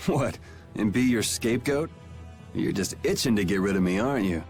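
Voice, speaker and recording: Cool, sardonic young rebel. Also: male voice, lowish voice, animated, normal speed, darkish tone. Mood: dry, challenging. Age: young adult. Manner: casual, dry, sardonic. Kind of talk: dramatic video game voice acting. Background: background music.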